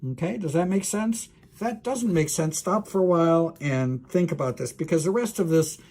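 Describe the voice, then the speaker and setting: A man giving an informal, unscripted university lecture, explaining in a halting way as he thinks aloud. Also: on the high side, mellow.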